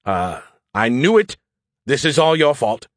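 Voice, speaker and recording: A man's voice: mid-range voice, sing-song, measured pace, no echo, studio quality, pitched above the performer's own voice. Man playing a sarcastic, stubborn military pilot. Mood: defensive. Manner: indignant, sarcastic. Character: stubborn, sullen, sarcastic male military pilot, stressed but dutiful. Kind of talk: audiobook character acting.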